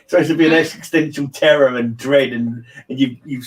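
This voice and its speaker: A man's voice: mid-range voice, steady tone, brisk, recorded from a distance, crowd noise, poor audio. A man hosting a casual livestream book discussion, rambling a little and repeating his phrases. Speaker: host of a livestream read-along channel.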